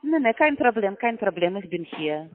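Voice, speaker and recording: A man as a phone scammer, speaking halting, broken German patiently and repetitively on a phone call. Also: high voice, sing-song, faint music behind. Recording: tinny phone line.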